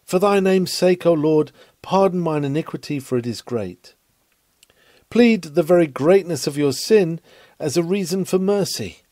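A man's voice: on the high side, expressive, unhurried, dark tone, dry recording, studio quality. A man reading an old sermon aloud in an earnest preaching delivery, with dramatic swells of emphasis. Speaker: reader of a historical sermon.

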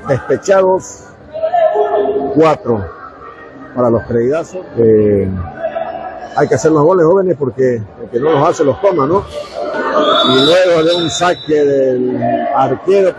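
A man with an eastern Bolivian Spanish accent giving live radio commentary on a futsal match, talking conversationally to listeners. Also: a little high. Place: indoor coliseum sports court, from the stands. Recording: very noisy live sports hall. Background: loud ambient noise of a futsal match.

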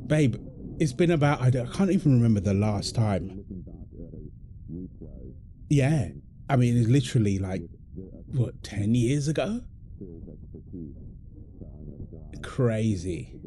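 A man's voice: medium pitch, background noise, natural voice. A British man playing an affectionate, playful husband in an intimate, naturalistic audio roleplay, talking casually and teasingly in short repeated phrases. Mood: gentle, apologetic. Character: affectionate, playful, apologetic husband, gamer with his mates.

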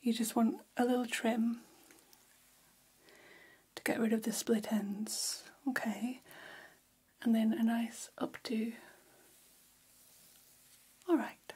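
A woman playing a gossipy, attentive female vampire hairstylist in a gentle, unhurried, breathy whisper for an ASMR roleplay. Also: on the high side, flat delivery, exaggerated voice. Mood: soft, attentive. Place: small decorated room, indoors.

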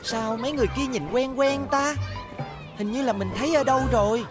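Cartoon boy teammate female actor: highish voice, earnest, straightforward, childlike. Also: fairly quick, music in the background, close to an everyday voice. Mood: curious.

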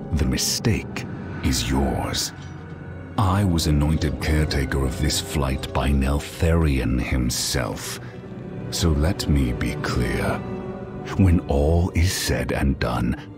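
Deep-voiced, stern dragon lord. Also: male voice, full character voice. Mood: imperious. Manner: formal, commanding, measured. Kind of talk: fantasy game cutscene acting.

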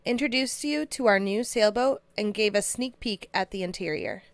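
A woman's voice: medium pitch, moderate pace, no echo. A woman hosting a sailing vlog, reading scripted travel narration. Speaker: vlog host, sailor. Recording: loud music over the voice. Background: loud music.

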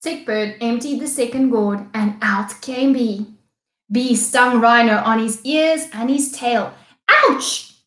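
A young woman reading a picture book aloud with deliberate, careful phrasing for small children. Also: a little high. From South Africa. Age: young adult. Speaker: children's story reader, teacher. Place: small indoor classroom-style room. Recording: echoey room.

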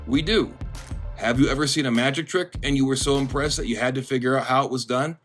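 Deadpan man with a monotone voice. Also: medium pitch, quick pace, soft background music. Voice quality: monotone delivery. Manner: dry, deadpan. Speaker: video essayist. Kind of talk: scripted commentary.

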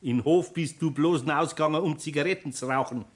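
Older Bavarian craftsman, played by a man, fairly high-pitched. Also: a little fast, natural voice. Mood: stern, teasing. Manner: folksy, chiding. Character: gruff, good-natured older master carpenter. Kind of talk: 1960s German radio play acting.

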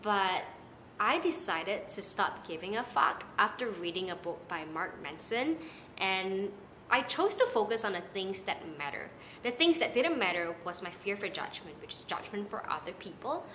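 A young woman from Malaysia with a Malaysian accent, giving casual, animated advice to the camera from her living room. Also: mid-range voice, normal speed, a little hiss or hum. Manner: chatty and animated, gesturing with her hands. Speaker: content creator. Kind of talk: casual talking-head vlog advice. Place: small apartment living room, indoors.

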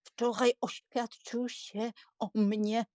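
An actress playing a flustered, pious, sentimental elderly spinster aunt in an audiobook drama, plaintive and fretful. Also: female voice, a little high, little pitch movement, slow pace, darkish tone, no echo, studio quality. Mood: flustered, confiding. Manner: plaintive, fretful, easily shocked.

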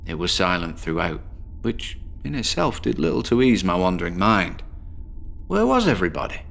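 A man's voice: low-pitched, very animated, moderate pace, gravelly, fairly bright tone, heavily acted. An actor playing a lonely, downtrodden middle-aged man in a bedsit, in a radio drama, who talks in a rambling, digressive, self-deprecating way. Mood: weary. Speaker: patient in a horror audio drama. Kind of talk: first-person radio drama monologue.

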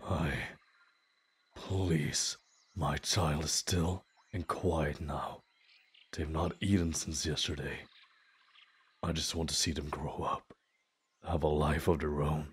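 A man playing a desperate, grieving father who pleads humbly and haltingly in a fantasy audio drama. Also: very deep voice, steady tone, breathy edge, exaggerated voice. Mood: desperate, earnest. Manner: slow, halting, earnest pleading.

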